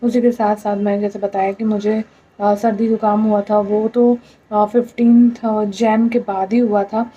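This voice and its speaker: Young indian woman, hoarse and husky, tired plaintive vlog talk, dull lo-fi audio. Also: medium pitch, little pitch movement, measured pace, dark tone. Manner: tired, plaintive, mixes English words. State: hoarse, throat infection. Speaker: vlogger. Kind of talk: casual talk to camera.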